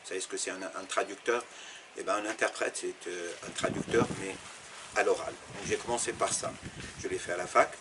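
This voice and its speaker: A man's voice: sits low, steady tone, fairly quick, noisy background. A man from Algeria, an Arabic teacher, answering interview questions in a thoughtful, explanatory way, with fillers and restarts.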